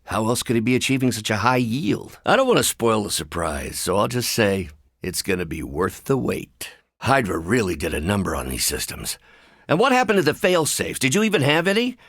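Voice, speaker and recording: An actor voicing a brilliant, anxious, wry scientist with buried anger in a video game, speaking in precise, articulate, dryly sardonic phrases. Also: male voice, lowish voice, huge pitch swings, fairly quick, a bit bright, dry recording, clean studio sound, exaggerated voice. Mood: analytical, then urgent, exasperated.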